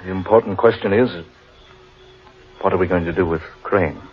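Deep, grave man acted in old radio horror. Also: lightly acted. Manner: solemn, ominous, deliberate. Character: grave, brooding man lost in an eerie forest. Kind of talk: 1940s radio horror acting. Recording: dull vintage radio recording.

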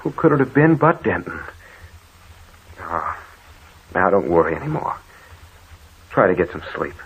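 Low-voiced radio drama husband. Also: male voice, sing-song, measured pace, slightly rough, heavily acted. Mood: reassuring. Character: protective, reassuring husband in a haunted house. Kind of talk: 1940s radio drama acting. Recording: tinny, hissy old radio recording.

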